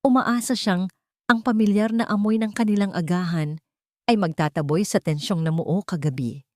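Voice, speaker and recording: A woman's voice: mid-range voice, normal speed, dark tone, dry recording, studio quality. A woman with a Filipino accent reading a scripted dramatic story as narrator.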